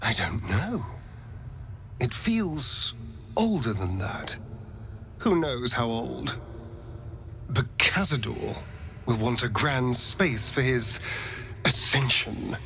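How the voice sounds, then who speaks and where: A man's voice: mid-range voice, animated, takes it slowly, pauses often, sharp tone, slight background noise, full character voice. A man voicing a haughty, theatrical vampire rogue in a video game, with arch, precise, dramatic delivery. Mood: wary awe.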